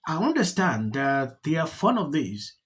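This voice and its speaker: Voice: mid-range voice, very animated, a little slow, breathy edge. A YouTube commentator giving earnest solo commentary and speaking directly to viewers.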